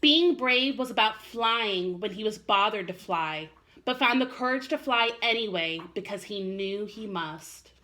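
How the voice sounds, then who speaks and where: A woman's voice: mid-range voice, expressive, measured pace, bright, forceful tone. A woman teacher reading aloud expressively to young children, with an encouraging manner. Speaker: first-grade teacher.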